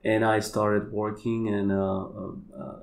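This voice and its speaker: A man with a Polish accent, a native Polish speaker, talking in a halting, searching way as a podcast guest. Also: on the low side, flat delivery, gravelly. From Poland. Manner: halting, searching for words, many fillers. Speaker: kambo practitioner guest. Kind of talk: casual conversation.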